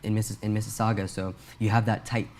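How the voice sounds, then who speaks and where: A man's voice: lowish voice, flat delivery, fast, a little mellow. A young man born in Pakistan, an a cappella singer and producer, answering interview questions in a hesitant way with many fillers and restarts.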